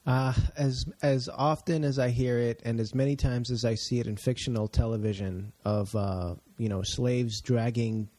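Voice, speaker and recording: Mellow-voiced male podcast host. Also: mid-range voice, fairly even intonation, moderate pace, few pauses, no room sound. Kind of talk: casual interview talk.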